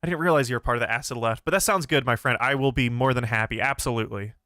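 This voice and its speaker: Young man, slightly nasal, smooth clear voice, hesitant chatty streamer. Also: mid-range voice, rapid-fire, pushed and bright, dry recording, clean studio sound. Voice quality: somewhat nasal. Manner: thoughtful, hesitant, many fillers and restarts. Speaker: philosophy livestream host. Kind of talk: casual livestream Q&A, answering chat.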